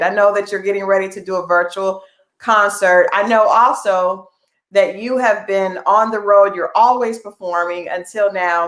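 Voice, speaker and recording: A woman hosting an interview, who asks long, rambling questions and murmurs frequent agreement. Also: mid-range voice. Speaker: interview host. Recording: echoey room.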